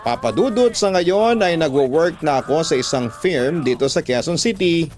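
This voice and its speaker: A man's voice: fairly high pitch, expressive, normal speed, darkish tone, soft background music. Filipino man narrating a love story. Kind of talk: scripted first-person narration of a listener's love letter.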